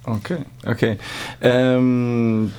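A man's voice: mid-range voice, flat delivery, very slow, a little mellow, clean tone. A man co-hosting an interview, asking short follow-up questions. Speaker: co-host. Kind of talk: casual interview talk.

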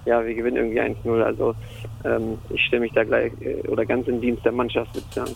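Gravelly, flat-voiced young man. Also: medium pitch, fast, a little hiss or hum, poor audio. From Germany (Hamburg). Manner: hesitant, many fillers. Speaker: football player. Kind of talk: casual interview answers.

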